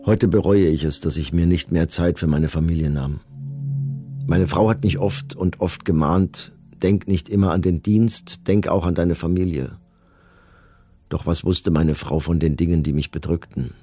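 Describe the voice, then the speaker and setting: Low, dark-voiced actor as a remorseful condemned commandant. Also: male voice, steady tone, faint music behind, lightly acted. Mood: regretful. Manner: grave, measured, formal. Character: remorseful condemned Nazi camp commandant, solemn and self-justifying. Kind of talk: dramatized reading of memoirs and letters in radio documentary.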